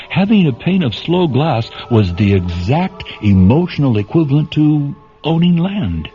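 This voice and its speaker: An actor playing the solemn storyteller of a science fiction radio drama, narrating in a measured, literary and dramatic manner. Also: male voice, medium pitch. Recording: dull lo-fi radio recording, music bed.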